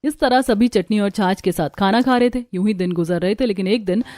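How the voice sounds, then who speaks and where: A woman's voice: medium pitch, fairly quick, dark tone. A woman reading a scripted story as narrator. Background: music.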